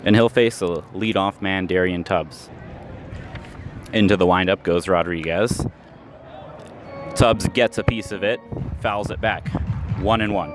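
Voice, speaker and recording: A man's voice: low-pitched, a little slow, long pauses, over music. A man working as a radio baseball announcer, calling the game live in clipped phrases as the action happens. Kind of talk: live baseball play-by-play commentary. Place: live at an outdoor ballpark.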